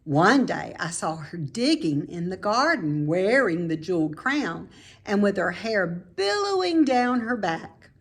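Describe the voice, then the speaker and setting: A woman reading a children's story aloud, with expressive, deliberate phrasing for young listeners. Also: mid-range voice, husky. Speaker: storybook reader, teacher.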